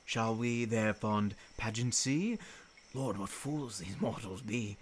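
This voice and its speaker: A man's voice: on the low side, takes it slowly, a little hiss or hum. A man playing a mischievous, gleeful fairy servant in a Shakespearean verse radio play, with a playful theatrical manner. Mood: amused, mischievous. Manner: theatrical, playful, laughing delight in others' folly.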